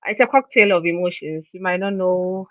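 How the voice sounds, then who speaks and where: A woman's voice: on the low side, moderate pace, slight background noise. A woman hosting an interview in a friendly, conversational way, stacking several follow-up questions together. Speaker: interviewer, host. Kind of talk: casual interview chat. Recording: dry tinny phone-like line.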